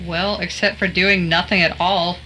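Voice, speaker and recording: A woman's voice: fairly low pitch, flat delivery, normal speed, sharp tone, soft background music, low-quality recording. A woman acting as a sarcastic, teasing friend in a mystery audio drama, with a blunt, mocking delivery. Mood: mocking, impatient.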